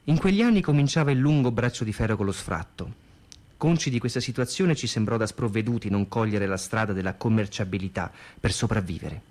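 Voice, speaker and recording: A man delivering scripted radio narration as a narrator looking back, in a formal, measured manner. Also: lowish voice, darkish tone. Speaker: narrator recalling a theatre's history.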